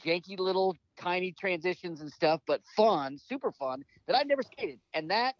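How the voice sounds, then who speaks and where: A man in his fifties chats in a rambling, upbeat way, full of restarts. His voice sits fairly high for a man. The sound is dry and a little dull and muffled. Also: lively intonation, moderate pace, dry recording. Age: 50s. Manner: rambling, enthusiastic, trailing restarts. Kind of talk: casual podcast conversation.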